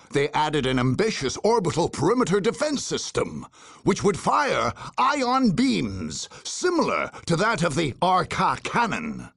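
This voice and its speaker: A solemn war chronicler, gravelly and husky, with dramatic swings of intonation. He narrates a game story in an ominous, grave manner, recorded close and dry over faint music. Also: on the high side, fairly bright tone, no room sound, exaggerated voice.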